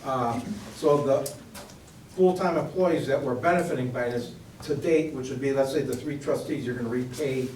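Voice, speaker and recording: A man's voice: medium pitch, moderate pace, a little mellow, a little hiss or hum. A man asking blunt questions from his seat at a township meeting. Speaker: resident at public comment. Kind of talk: public comment questions. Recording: echoey room from far off.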